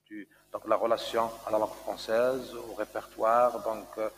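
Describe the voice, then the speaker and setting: A man's voice: fairly low pitch, flat delivery, normal speed, a little hiss or hum. A man on a thesis jury, moderating the defense and urging the candidate on in an informal, encouraging way. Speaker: thesis jury member chairing. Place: university lecture hall.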